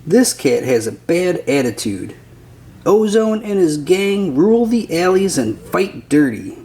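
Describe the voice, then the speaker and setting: Guy reading a kids book out loud, really slow, voice goes up and down a lot. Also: high voice, big pitch swings. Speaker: children's storybook narrator. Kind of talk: scripted read-aloud narration.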